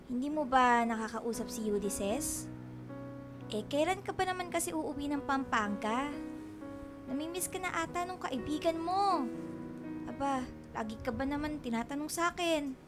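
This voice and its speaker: A woman's voice: high-pitched, lively intonation, normal speed, long pauses. A woman playing a worried, fussing middle-aged mother in a radio drama, talking in a nagging, motherly way. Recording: music bed behind the voice.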